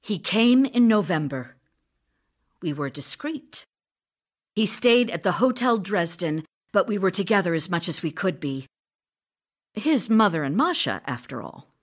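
An actress playing a passionate, impatient, loving stage actress in an audio drama of love letters, speaking in an ardent, demanding, theatrical way. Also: female voice, lowish voice, expressive, measured pace. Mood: reflective.

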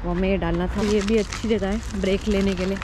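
A woman's voice: medium pitch, steady tone, brisk, noisy background. A woman from India chatting casually to camera outdoors on a canal walk, with teasing asides. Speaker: vlogger. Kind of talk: casual vlog chat. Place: outdoors along a city canal towpath.

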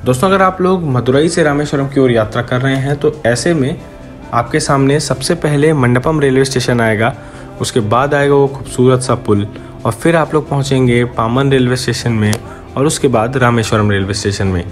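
A man's voice: mid-range voice, normal speed, faint music behind. A man narrating a train journey vlog in a casual, friendly way, talking to his viewers. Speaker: travel vlogger. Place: on a moving train. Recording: train noise behind the voice. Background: train and wind noise.

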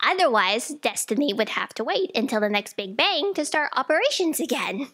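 A woman's voice: sits high, sing-song, fairly quick, fairly bright tone, heavily acted. A young woman playing an earnest, insistent junior underling in a staged audio drama. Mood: explaining. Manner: theatrical, insistent. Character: earnest, urgent, frank female junior underling. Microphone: stand microphone on a theatre stage.

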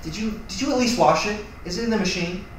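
A man's voice: sits high, fast talker, natural voice. A man playing an exasperated, short-tempered roommate in a naturalistic acted scene, speaking bluntly and confrontationally. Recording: distant, very echoey room, faint noise.

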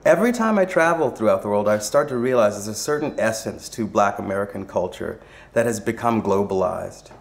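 A man narrating a documentary in a measured, reflective and articulate way. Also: on the low side, expressive, gravelly. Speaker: visual artist presenting the film.

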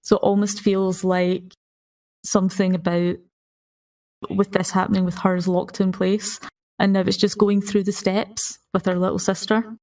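A woman's voice: mid-range voice, little pitch movement, unhurried, darkish tone. A woman in a casual, unscripted discussion as a podcast panelist. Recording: slightly distant from the mic.

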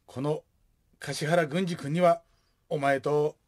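A man playing a stubborn, soft-hearted middle-aged father with a Kansai accent in a radio drama. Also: fairly high pitch, steady tone, takes it slowly. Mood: solemn. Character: stubborn, blustery, soft-hearted widowed father of a young woman.

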